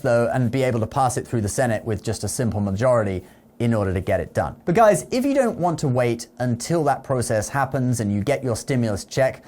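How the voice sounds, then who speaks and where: A man's voice: medium pitch, darkish tone. A man presenting online finance commentary in an explanatory way, often checking in with the listener with short tag questions. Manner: explanatory, checks in with "okay?" and "all right?". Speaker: finance news video host. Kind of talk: explainer commentary to camera with sponsor read.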